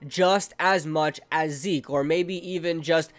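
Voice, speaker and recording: A man's voice: a little high, fairly even intonation, takes it slowly. A young man hosting a casual football preview, chatty with lots of fillers and a congested, nasal sound from a cold. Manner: chatty, fan-like, frequent fillers. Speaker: sports channel host.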